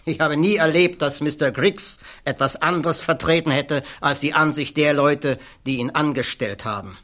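An actor playing an irreverent, blunt physics professor giving testimony in a radio play, wry and outspoken. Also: male voice, on the high side, normal speed, a bit bright, close to an everyday voice. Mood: sardonic. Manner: wry, outspoken, swears casually.

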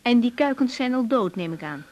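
An actress playing a polite young family doctor, calm and gently steering, in a naturalistic radio drama with slightly dull sound. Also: female voice, a little high, fairly quick, lightly acted. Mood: polite, patient.

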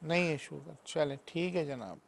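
Brisk high-voiced male hakeem host. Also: gravelly. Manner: brisk, asks quick routine questions. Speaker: hakeem host answering callers. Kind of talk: call-in health advice show.

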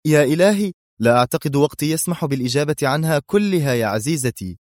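Man acting a cocky mocking secret agent, pitched up. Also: brisk, dry recording, clean studio sound, natural voice. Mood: teasing. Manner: sarcastic, taunting.

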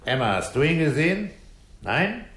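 A man playing a terse, probing police commissioner in a 1960s German radio crime drama, blunt and unhurried in his questions. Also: a little high, big pitch swings, fairly bright tone, slight background noise. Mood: dry, unhurried. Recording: roomy early-1960s radio studio recording.

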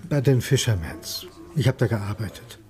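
An actor playing a wary, reluctant rural farmhand who answers curtly in a crime radio play. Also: male voice, on the low side, lively intonation, normal speed, darkish tone, soft background music, lightly acted. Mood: guarded. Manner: guarded, curt, reluctant.

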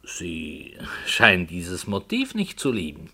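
Slow, low-voiced, brooding storyteller. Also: male voice, huge pitch swings, breathy edge, exaggerated voice. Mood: earnest. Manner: slow, hesitant, earnest, dramatic swells and hushes. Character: brooding male writer, solemnly spinning a dark strange tale. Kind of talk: 1960 German radio play, literary storytelling.

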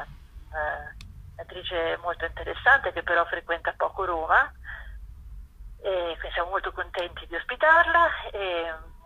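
A woman's voice: on the low side, husky, no room sound. A woman speaking as an interview guest, explaining an event programme informally and hesitantly, searching for words as she goes. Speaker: festival curator, interview guest. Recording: dull lo-fi telephone-like line.

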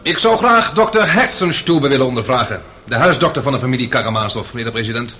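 An actor playing a respectful defence lawyer in a radio courtroom drama, courteous and declamatory. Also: male voice, medium pitch, animated, quick pace, pushed and bright, slight background noise. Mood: courteous, formal.

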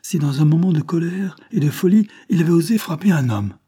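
A man's voice: mid-range voice, animated, normal speed, warm tone, slightly rough. A man voicing an agitated man confessing a crime to his lover, exalted and dramatic, as in an audiobook. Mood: feverish, exalted. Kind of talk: dramatic audiobook character reading.

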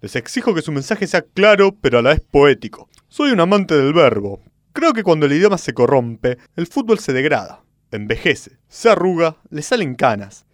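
A man plays a pompous Argentine football club boss with a taste for grand words. The voice is fairly high and very animated, in comic radio-sketch style. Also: no echo, clean studio sound. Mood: pompous, poetic. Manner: pompous, halting then grandiloquent. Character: pompous, eccentric football club president, lover of fine words. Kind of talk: radio sketch comedy acting.

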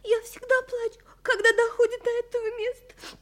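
An actress playing a naive, lively, emotional young woman in an audio drama, expressive and girlish. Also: very high-pitched, moderate pace. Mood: tearful, wistful.

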